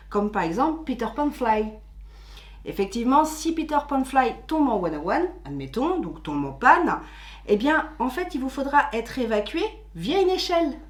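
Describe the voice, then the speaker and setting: Lady co-host, chatty, explains stuff slowly with lots of bah and euh, highish voice, echoey room. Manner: chatty, explains patiently with filler words. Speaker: co-host of a theme-park channel. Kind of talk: casual explainer chat.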